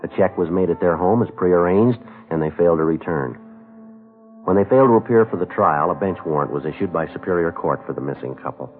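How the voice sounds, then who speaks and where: An actor playing a stoic, businesslike police sergeant in a 1950s radio drama, speaking in a terse, clipped, matter-of-fact way. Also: male voice, low-pitched, expressive, a little fast. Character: stoic, businesslike male police sergeant narrating his case. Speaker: narrator and lead detective. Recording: muffled old radio broadcast, music.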